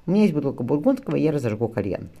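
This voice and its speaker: A jovial admiral acted by a male reader from a historical storybook. He is fond and easygoing, talking fast with lively swings of pitch. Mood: reassuring, cheerful. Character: jovial, reassuring admiral husband in historical novel.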